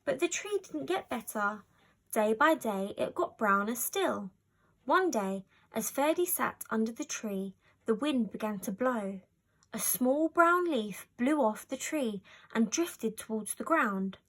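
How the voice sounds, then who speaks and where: A woman narrating a children's story from a script in an expressive storytelling style. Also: on the high side, unhurried. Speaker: storybook reader.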